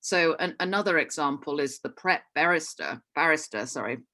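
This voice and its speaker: Woman with Hong Kong accent, forum panelist, bright crisp tone, eager and businesslike, frequent restarts, video-call sound. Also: sits low, animated, normal speed. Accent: Hong Kong English. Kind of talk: conference talk.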